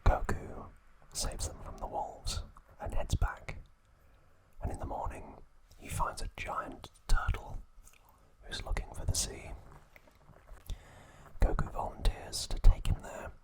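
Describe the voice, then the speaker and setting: A man hosting ASMR, reading trivia in a breathy, hushed, soothing whisper. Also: low-quality recording. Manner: slow, hushed, soothing delivery. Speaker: ASMR video host.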